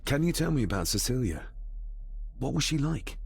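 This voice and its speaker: An actor playing an earnest, polite and inquisitive young gentleman heir of the 1920s in a video game. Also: medium pitch, big pitch swings, normal speed, gravelly, slightly rough, exaggerated voice. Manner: polite, questioning. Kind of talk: dramatic game cutscene dialogue.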